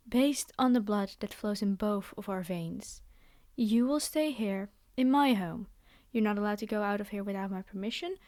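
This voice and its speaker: A woman's voice: medium pitch, a little mellow, no room sound, clean studio sound, close to an everyday voice. A woman playing a haughty, possessive vampire noblewoman in an ASMR fantasy roleplay, speaking in a theatrical, condescending and formal way. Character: haughty, possessive vampire noblewoman, polite yet menacing captor.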